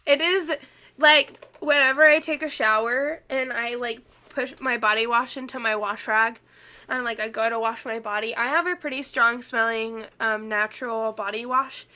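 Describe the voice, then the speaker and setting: Congested young woman, chatty podcast talk, bright and a little high. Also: fairly even intonation, moderate pace. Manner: chatty, laughs often, many ums. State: stuffy, congested from illness. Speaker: podcast co-host. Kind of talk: casual chat.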